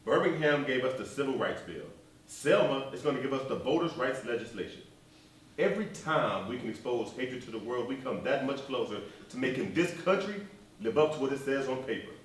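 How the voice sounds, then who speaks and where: Echoey, distant stage drama: resolute, peaceable reverend played by a male actor, mid-pitched, earnest orator. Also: animated, brisk, lightly acted. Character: resolute, peaceable male preacher and civil rights leader. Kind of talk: theatrical one-act stage play acting. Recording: echoey, noisy stage recording from afar.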